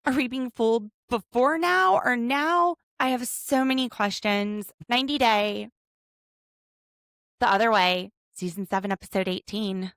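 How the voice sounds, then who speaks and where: A woman in her thirties hosting a casual podcast from home, chatty and quick to laugh as she picks apart a reality show. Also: fairly high pitch, fairly bright tone, no room sound, clean studio sound. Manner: chatty, laughs often, overanalyzing. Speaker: podcast host, attorney.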